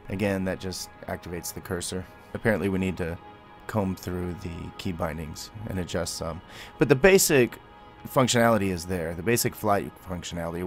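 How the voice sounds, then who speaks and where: Very low-pitched man doing game tutorial. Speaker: tutorial host. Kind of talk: gameplay tutorial commentary.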